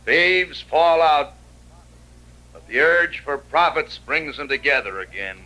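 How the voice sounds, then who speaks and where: An actor playing a calm, fearless hired gunman in an old American radio western, terse and deliberate with a cool menace. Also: fairly high pitch, exaggerated voice, rough recording. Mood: grim calm. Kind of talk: 1950s radio western acting. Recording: old radio recording with music.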